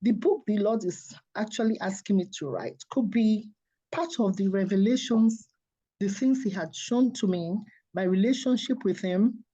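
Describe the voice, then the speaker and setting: An earnest, soft-spoken woman tells her story as an interview guest and often laughs softly. Her tone is warm and mellow. She comes through a dull, lo-fi remote line. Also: medium pitch, normal speed, husky. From Nigeria.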